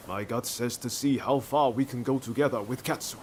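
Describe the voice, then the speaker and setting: An actor playing an earnest, reflective young samurai in a video game, thoughtful and sincere. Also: male voice, mid-range voice, fairly quick, slight background noise, lightly acted. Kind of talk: video game cutscene acting.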